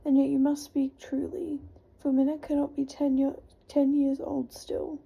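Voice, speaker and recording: A woman's voice: high-pitched, flat delivery, a little slow, creaky, dark tone. A woman whispering the lines of a frightened young woman in a storybook reading. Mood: frightened pleading. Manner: whispered, pleading. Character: frightened young woman haunted by a lost friend.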